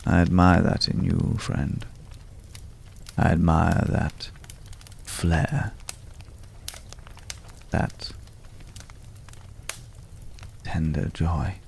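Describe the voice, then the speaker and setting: A man voicing a courtly, world-weary old companion in an intimate ASMR roleplay, speaking in formal, archaic phrases with long pauses and a gravelly low voice. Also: level tone, a little mellow, a little hiss or hum, exaggerated voice. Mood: wistful, admiring.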